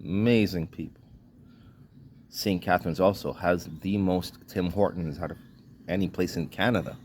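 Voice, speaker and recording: A man's voice: sits low, steady tone, normal speed, darkish tone, slight background noise. A man from Trinidad and Tobago delivering a blunt, indignant vlog rant in short clipped sentences, swearing freely. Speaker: vlogger. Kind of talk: ranting monologue.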